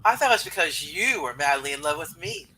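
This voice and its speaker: A man's voice: on the high side, animated, fast talker, pushed and bright, slight background noise, low-quality recording. A man playing a long-suffering wife of a joker in an amateur comic book reading. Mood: exasperated. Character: wife, admiring then exasperated with her joking husband.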